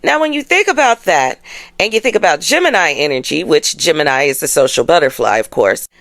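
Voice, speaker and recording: Lively woman with big pitch swings. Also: fairly quick, no echo. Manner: sassy, slangy, playful. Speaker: host of an astrology show. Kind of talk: casual chatty explainer to camera.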